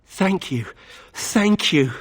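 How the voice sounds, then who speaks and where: An actor playing a humble, desperate sheepherder in a video game, halting and pleading, in a reverberant hall. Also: male voice, high voice, expressive, exaggerated voice.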